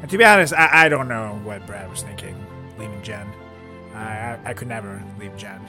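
A man's voice: lowish voice, brisk, over loud music. A man co-hosting a podcast, chatting casually and jokingly with friends and prone to giggling. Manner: giggly, breaking into laughter. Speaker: podcast co-host.

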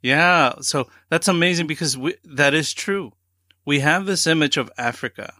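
A man's voice: a little high, normal speed, pushed and bright, dry recording, studio quality. A man hosting a podcast, chatting enthusiastically with his guest. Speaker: podcast host. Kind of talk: podcast interview.

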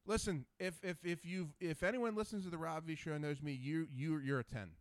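A man's voice: on the high side, fairly quick, no room sound. A man in his forties hosting a casual podcast chat, loose and profane, rambling into stories. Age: 40s. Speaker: podcast host.

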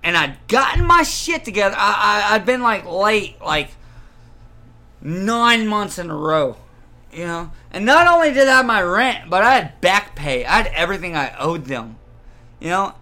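A man in his thirties chatting casually and telling personal stories in a rambling, animated, profane way. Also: high voice, moderate pace. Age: 30s. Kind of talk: casual chat, personal anecdotes.

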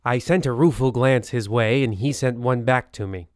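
Man playing a pompous, smooth-voiced spooky story host. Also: mid-range voice, no room sound, studio quality, close to an everyday voice. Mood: grandly ominous. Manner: pompous, formal, deliberate. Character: pompous misanthropic horror-anthology host, male. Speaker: host and narrator. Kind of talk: scripted theatrical narration.

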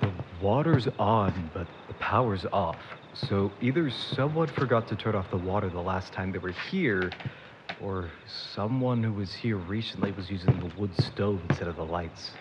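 Over loud background noise, an actor plays a young man with a fairly low voice. He is wary and sarcastic, a reluctant investigator in a naturalistic mystery audio drama. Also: moderate pace, lo-fi sound, lightly acted. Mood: pondering. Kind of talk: naturalistic audio drama acting with recorded narration asides.

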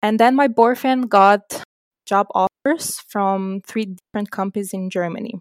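A woman's voice: medium pitch, moderate pace, dry recording. A young woman from Slovenia, a native Slovenian speaker, chatting casually as a podcast guest. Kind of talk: casual chat.

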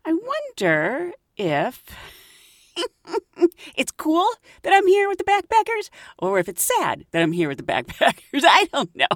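A woman's voice: a little slow, no room sound, studio quality. A middle-aged woman hosting a podcast, telling travel stories in a chatty way and laughing often. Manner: chatty, laughs often, lively rises in pitch. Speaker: podcast host, flight attendant. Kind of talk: casual storytelling.

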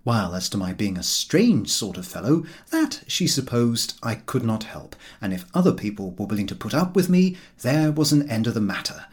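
A man reading a comic literary story as an audiobook, in an expressive, scripted narration. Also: medium pitch, normal speed, few pauses, slightly rough. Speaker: audiobook reader.

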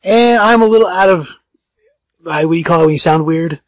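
A man with a raspy voice hosting a podcast in casual chat, halting and often correcting himself. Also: on the high side, dry recording. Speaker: podcast host.

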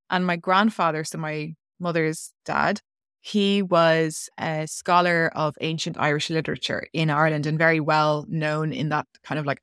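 A woman's voice: deep voice, fairly bright tone, clean tone, no room sound, clean studio sound. A woman guest on a podcast telling a personal story in a chatty, rambling way, full of "like" fillers. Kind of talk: casual interview storytelling.

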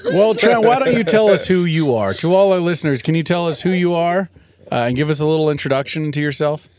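A man's voice: a little high, fast, a crowd in the background. A man co-hosting a casual podcast at a cabin table, joking and laughing often. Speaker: podcast co-host. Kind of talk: casual chat. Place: cabin, long table with family.

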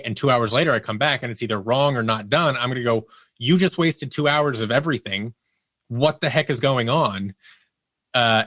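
A man's voice: mid-range voice, bright, forceful tone, no room sound. Man telling anecdotes in a casual interview. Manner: acts out remembered dialogue in anecdotes. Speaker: podcast guest, audio producer and actor.

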